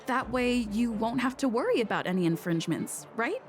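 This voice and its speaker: Casting: composed young game heroine, fairly high voice, reasoned and firm, faint music underneath. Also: female voice, very animated, a little fast. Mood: firm, diplomatic. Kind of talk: video game voice acting.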